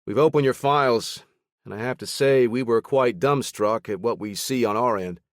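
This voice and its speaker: A man's voice: mid-range voice, moderate pace, dry recording, clean studio sound, voice pushed up, lightly acted. A man voicing a short-tempered male team leader, speaking with strained patience. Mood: exasperated.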